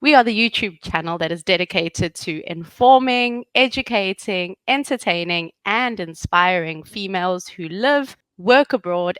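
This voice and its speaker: A woman's voice: medium pitch, fairly bright tone, dry recording, low-quality recording. A woman hosts an interview in an upbeat manner, reading her introduction from a script. Her voice sits in a middle range and sounds dry and close, with no room around it. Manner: upbeat, reads a prepared introduction. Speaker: host.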